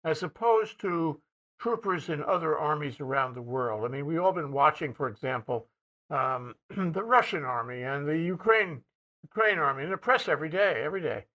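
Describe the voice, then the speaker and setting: A man interviewing soldiers, asking questions in a halting way with frequent restarts and throat-clearing. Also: fairly high pitch, expressive, pushed and bright, highs cut off.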